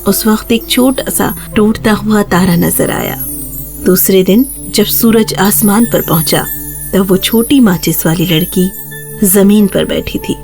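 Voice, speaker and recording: A woman's voice: mid-range voice, a little mellow, faint music behind. A woman narrator reading a scripted children's fairy tale in a storytelling style.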